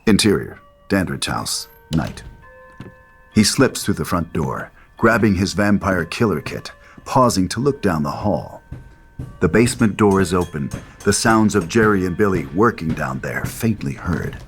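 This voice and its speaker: A man's voice: low voice, animated, measured pace, soft background music. A man reading stage directions as the narrator of a dramatic screenplay reading.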